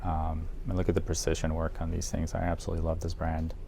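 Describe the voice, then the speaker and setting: A man's voice: rumbling low, completely flat delivery, fast talker, gravelly. A man presenting a casual product guide in an audio shop, talking informally and with enthusiasm for the gear. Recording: noticeable background noise, room ambience.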